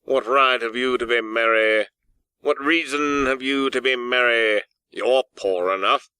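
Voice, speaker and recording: A man's voice: medium pitch, unhurried, sharp tone, clean tone, no room sound. A man puts on a miserly old businessman for an audiobook. He is grumpy and stern, snapping with scornful indignation. The recording is dry, with no room sound. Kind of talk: audiobook character acting.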